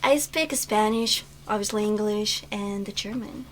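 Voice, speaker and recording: A woman's voice: medium pitch, a little slow. A young woman from Bolivia and the Netherlands chatting cheerfully. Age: young adult. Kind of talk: casual group chat.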